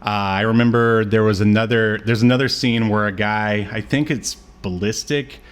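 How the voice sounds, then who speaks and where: A man's voice: fairly low pitch, fairly even intonation, normal speed, clean tone. Casual, chuckling male podcast co-host. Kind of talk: casual chat about cartoons.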